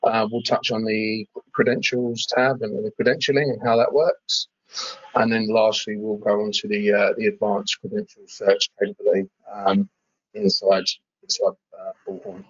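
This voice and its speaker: Husky low-voiced man presenting a demo. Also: level tone, moderate pace, no echo, dull audio. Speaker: webinar presenter. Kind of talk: informal software demo walkthrough. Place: screen-share webinar voice-over.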